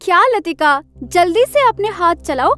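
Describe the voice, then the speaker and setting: A woman voicing animated female characters in a Hindi cartoon story. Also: very high voice, measured pace, full character voice. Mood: bossy, irritated.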